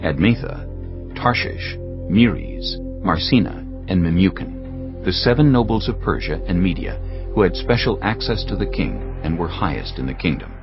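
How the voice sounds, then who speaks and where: A male narrator with a very low voice reads a dramatized audiobook in a stately storytelling style. His intonation is lively and varied, and clear music plays behind him. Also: moderate pace. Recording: slightly dull audio over music. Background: prominent background music.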